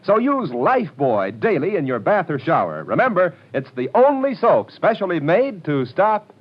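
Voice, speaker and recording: A man with a higher, lively voice giving a brisk, persuasive sales pitch. The recording is dull and muffled, like an old 1940s radio broadcast. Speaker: radio announcer.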